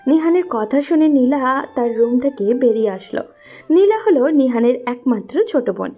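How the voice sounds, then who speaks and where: A woman's voice: high-pitched, animated, normal speed, lo-fi sound, soft background music. A woman narrating a scripted romantic story as a storyteller.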